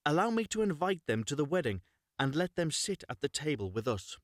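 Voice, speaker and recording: A man's voice: a little high, very animated, fairly quick, no room sound, studio quality. A man voicing a grateful, polite young maiden bride in a fairy tale. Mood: polite request.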